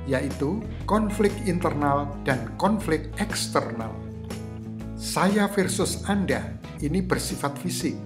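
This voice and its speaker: A presenter gives scripted instructional narration in a didactic manner, putting rhetorical questions to the listener. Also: medium pitch. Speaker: host of a screenwriting tutorial. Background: guitar music.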